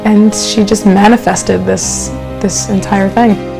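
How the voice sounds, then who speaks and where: A woman remembers a friend in an interview, speaking haltingly and restarting her phrases. Her voice is gravelly with plenty of vocal fry, and clear music plays behind her. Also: mid-range voice, steady tone, poor audio. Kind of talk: interview recollection.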